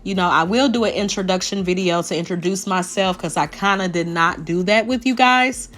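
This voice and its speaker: Woman, lowish raspy voice, slow rambling nail-tutorial voice-over over faint music. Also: fairly bright tone. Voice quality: naturally deep voice. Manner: chatty, rambling, addresses viewers directly. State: raspy after a sinus infection.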